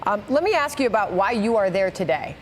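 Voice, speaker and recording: A woman's voice: medium pitch, sing-song, a little fast, slight background noise. An American woman anchoring a news broadcast and putting questions to a guest.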